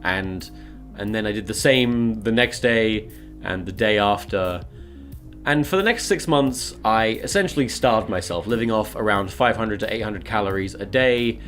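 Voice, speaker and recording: A man's voice: fairly low pitch, normal speed, a bit bright, faint music behind. A man in his twenties with a British accent, telling a personal story in a semi-scripted, confessional way as a video creator.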